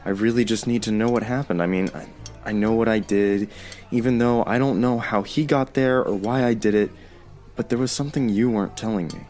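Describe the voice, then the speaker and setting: Man playing a low-voiced, remorseful ex-boyfriend. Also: darkish tone, soft background music, natural voice. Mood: remorseful, pleading. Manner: halting, earnest, pleading. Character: remorseful young man pleading with his ex-boyfriend. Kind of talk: audio drama acting.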